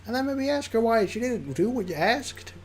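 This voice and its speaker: A streamer doing Liam, a squeaky upbeat friend. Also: expressive, moderate pace, breathy edge. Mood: encouraging. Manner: upbeat, reassuring, chatty advice. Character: cheerful supportive young male friend.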